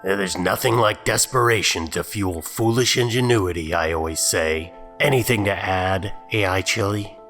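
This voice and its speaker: A man's voice: sits low, normal speed, hardly any pauses, a bit bright. A man delivering scripted mocking commentary in a sardonic, scornful manner. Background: music.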